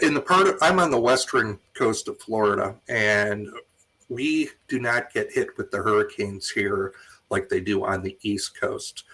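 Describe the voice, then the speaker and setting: A man's voice: fairly low pitch, pushed and bright, rough recording. An American man hosting an informal online question-and-answer session as moderator. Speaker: host and moderator of an online talk.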